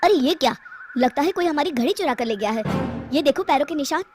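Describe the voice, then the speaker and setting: Earnest worried scientist woman voicing, somewhat lowered, cartoon. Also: animated, fast, darkish tone, soft background music.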